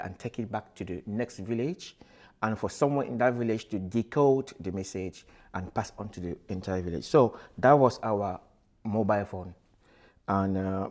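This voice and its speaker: Uomo originario del Camerun, voce piuttosto bassa, che parla con calma e molte esitazioni. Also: male voice. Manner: unhurried, hesitant, many fillers and restarts. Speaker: musician. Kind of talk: casual explanatory talk.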